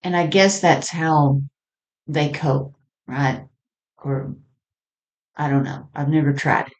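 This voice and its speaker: A woman with a low-pitched voice talks through her spiritual ideas alone, as a podcast host. Her delivery is very slow and reflective. She is halting, often letting a thought trail off before picking up the next. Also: steady tone, darkish tone, muffled recording. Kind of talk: unscripted spiritual monologue.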